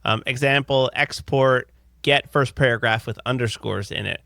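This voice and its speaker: A man in his thirties co-hosting a podcast, upbeat, reading listener questions and riffing in casual chat from a home studio on a close boom mic. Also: moderate pace, creaky, pushed and bright, no echo. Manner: upbeat, reads listener questions then riffs loosely. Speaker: podcast co-host. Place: home studio with headphones, indoors. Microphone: close studio mic on boom arm.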